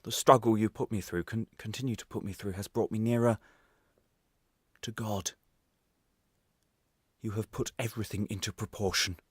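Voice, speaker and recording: A man playing a wronged, quietly defiant ex-prisoner in a radio play monologue, confessional, wry and halting. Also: lowish voice, fairly even intonation, husky, dry recording, studio quality. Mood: defiant, aggrieved.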